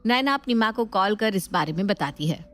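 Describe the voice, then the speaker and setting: A woman's voice: medium pitch, expressive, a little fast, fairly bright tone. A woman giving scripted narration of a family story as its narrator.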